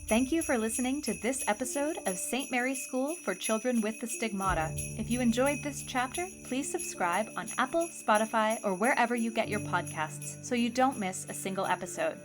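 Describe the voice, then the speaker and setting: Higher-voiced woman reading a podcast outro. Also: lively intonation, a little fast, few pauses, music in the background. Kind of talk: scripted announcement.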